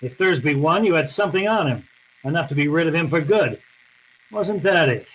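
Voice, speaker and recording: A man plays a hard-boiled private eye in an old-time radio drama, cynical and unyielding. His voice sits slightly high for a man, terse and tough, over clearly audible background noise. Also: expressive, fairly quick, close to an everyday voice, poor audio. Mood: accusing, hard.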